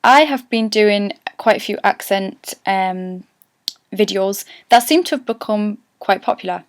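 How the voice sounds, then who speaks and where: A woman's voice: medium pitch, unhurried, dry recording, clean studio sound. A woman with a Geordie accent, an actress chatting to camera as she explains how she works on accents, self-deprecating and thinking aloud. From North East England. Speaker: actress giving an accent tutorial. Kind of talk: casual to-camera vlog.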